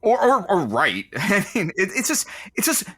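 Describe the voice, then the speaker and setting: An actor playing a joking, awkward man who produces a radio show, with halting, wry banter in an audio comedy. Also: high-pitched, wildly swooping pitch, a bit bright, no room sound, clean studio sound, heavily acted. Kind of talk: audio comedy drama dialogue.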